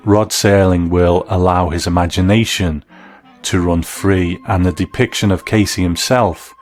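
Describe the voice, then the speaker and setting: A man presenting a scripted review in a measured, reflective manner. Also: low voice. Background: background music.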